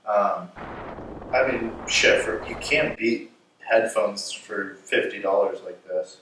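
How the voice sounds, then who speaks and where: A young man in casual, slangy conversation on a call, relaxed in his living room. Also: lowish voice, slow pace. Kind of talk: casual phone chat. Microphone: far camera. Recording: very echoey room, far from mic, noisy.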